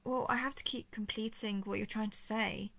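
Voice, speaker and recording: A woman's voice: medium pitch, steady tone, vocal fry, fairly bright tone. A woman voicing an affectionate, playful and easily flustered female dragon in an intimate audio roleplay. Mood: gentle, coaxing. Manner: tender, teasing, halting when flustered.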